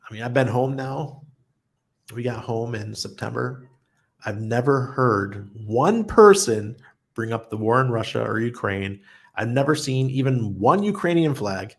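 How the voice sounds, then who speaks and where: A man's voice: medium pitch, expressive, a little slow, gravelly, slightly rough. A man hosting a casual livestream, reading viewer comments aloud and answering them in a blunt, chatty way, often laughing. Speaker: livestream host.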